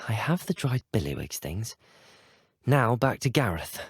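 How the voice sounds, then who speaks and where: A man's voice: medium pitch, sing-song, a little slow, breathy edge, no room sound, clean studio sound, full character voice. A young man with a British accent voicing a polite, earnest, curious teenage wizard student in a video game, speaking in a courteous, well-spoken way. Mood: polite, matter-of-fact. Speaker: player character voice actor. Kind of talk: scripted video game voice lines.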